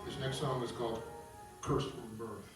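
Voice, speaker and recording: A man informally introducing and narrating a live table read, explaining as he goes with some halting. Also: medium pitch, little pitch movement. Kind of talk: live introduction and reading of stage directions. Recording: echoey room, far mic, guitar behind. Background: guitar music.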